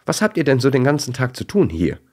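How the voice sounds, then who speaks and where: A tiny, friendly girl dog from a children's storybook, acted by a man. The voice is lifted above his deep natural pitch and slightly hesitant. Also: huge pitch swings, fast, a little mellow, breathy edge. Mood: happy.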